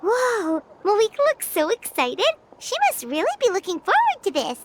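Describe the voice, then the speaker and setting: A woman voicing a tiny, excitable fairy companion in a video game, with a squeaky childlike voice and an exclamatory, chatty manner. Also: moderate pace, background noise, full character voice. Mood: curious. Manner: speaks of herself in third person, exclamatory. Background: music.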